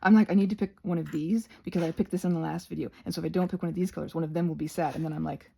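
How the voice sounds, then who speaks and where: Low, creaky-voiced woman giving a craft tutorial. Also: fairly even intonation, racing, warm tone. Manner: rambling and self-interrupting with playful asides. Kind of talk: casual instructional craft ramble.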